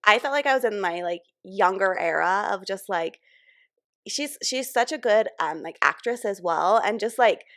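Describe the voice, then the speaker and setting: Slightly raspy, gravelly young woman co-host. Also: medium pitch, expressive, moderate pace, fairly bright tone, dry recording, clean studio sound. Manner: playful, giggly, trails off mid-sentence. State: a little raspy from concert screaming. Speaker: podcast co-host. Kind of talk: casual chat.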